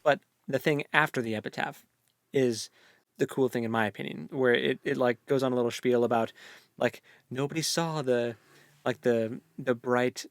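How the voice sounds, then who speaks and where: A young man co-hosting a book discussion, chatting informally and enthusiastically in halting phrases. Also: mid-range voice, fairly even intonation, creaky, no echo, clean studio sound. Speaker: book podcast co-host.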